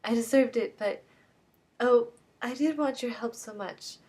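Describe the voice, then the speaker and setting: A woman's voice: unhurried, a little mellow, breathy edge. A woman voicing a desperate, theatrical young woman who begs and implores in a dramatic reading of a novel. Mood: ashamed. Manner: breathless, imploring, rising pitch.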